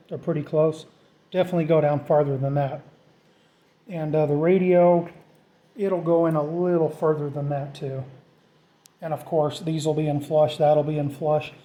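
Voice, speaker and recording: Male DIY vlogger, slightly high pitch but soft, dark-edged tone, informal thinking-aloud delivery. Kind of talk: casual off-the-cuff how-to narration.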